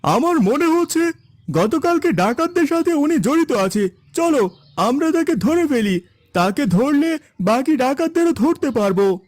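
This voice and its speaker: Casting: emphatic village accuser for cartoon, very animated high male voice, declamatory male actor. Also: a little slow, dry recording, exaggerated voice. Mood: accusing. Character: excitable, accusing village man. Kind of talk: cartoon dialogue.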